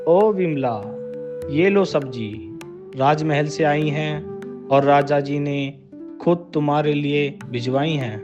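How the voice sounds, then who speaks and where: An actor as a slow-talking palace soldier. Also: male voice, on the high side, muffled recording, music in the background. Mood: courteous. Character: courteous palace soldier delivering food. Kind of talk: animated cartoon story acting.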